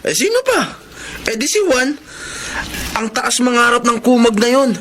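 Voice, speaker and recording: A man's voice: very high-pitched, sing-song, normal speed, a bit bright, slight background noise, exaggerated voice. A man playing a snobbish, mocking young schemer in a Filipino radio drama.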